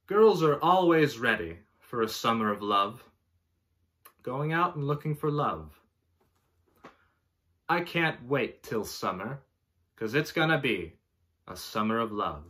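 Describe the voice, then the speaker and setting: A man in his twenties giving a mock-dramatic poetry reading in a pompous, deliberate way, recorded in a bedroom a short distance from the mic. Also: medium pitch, sharp tone. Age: 20s. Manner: theatrical, deliberate, pompous delivery. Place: small bedroom, indoors.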